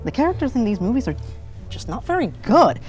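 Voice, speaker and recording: A man hosting a scripted comedic film review in an animated, sarcastic manner. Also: extremely high pitch, a little fast, faint music behind. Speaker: video reviewer, show host.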